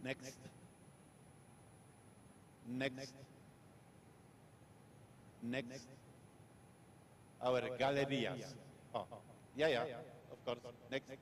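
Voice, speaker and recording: A man from Poland with a Polish accent, giving a guest lecture over slides in short, halting, repetitive phrases. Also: mid-range voice, steady tone, a little hiss or hum. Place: lecture hall.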